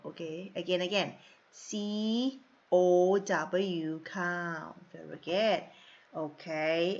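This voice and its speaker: A woman teacher, drilling a young English class with slow, exaggerated repeat-after-me prompts and soft asides. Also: a little high. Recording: dull lo-fi recording.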